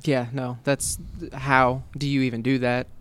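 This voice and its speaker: A man's voice: medium pitch, level tone, a little slow, smooth. A man co-hosting a casual podcast chat, blunt and sarcastic in his opinions. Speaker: podcast co-host.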